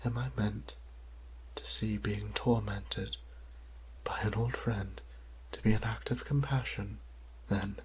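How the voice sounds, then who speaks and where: A man playing a gentle, devoted vampire priest in an audio drama, speaking earnestly and with careful phrasing. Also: on the low side, fairly even intonation, slow pace, long pauses, slight background noise, poor audio. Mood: pleading. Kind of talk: audio roleplay drama acting.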